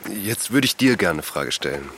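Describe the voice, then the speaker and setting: Grounded middle-aged father, deep voice, dry and calm, faint noise. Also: moderate pace, exaggerated voice. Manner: calm, dry, brief replies. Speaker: local villager. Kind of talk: audio drama acting.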